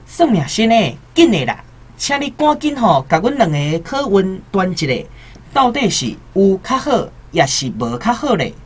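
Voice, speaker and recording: A woman's voice: mid-range voice, very animated, normal speed, breathy edge. A woman acting an eager, insistent young scholar in a radio drama, with a Taiwanese Hokkien accent. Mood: impatient, excited. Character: eager, impatient young male scholar awaiting exam results.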